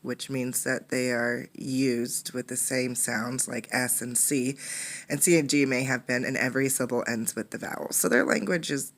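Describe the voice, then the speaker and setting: A woman with a low-pitched voice gives an interactive storybook read-aloud. She speaks as a teacher to children, explaining and inviting them to look and answer. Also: steady tone, normal speed. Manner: instructive, poses questions to young listeners. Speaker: teacher reading a picture book aloud.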